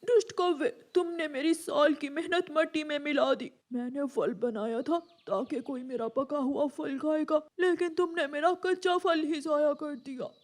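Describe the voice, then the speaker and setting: A woman's voice: sits high, a little slow, hardly any pauses. A woman voicing a wronged, sorrowful banana tree in a cartoon, plaintive and reproachful. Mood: hurt reproach. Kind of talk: Hindi animated moral story acting.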